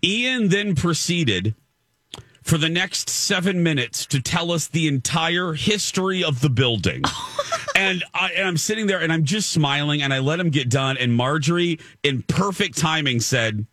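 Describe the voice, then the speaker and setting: A man co-hosting a casual chat show, telling stories in a deep voice with lots of restarts. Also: a little slow, slight background noise. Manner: animated storyteller, frequent restarts. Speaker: co-host.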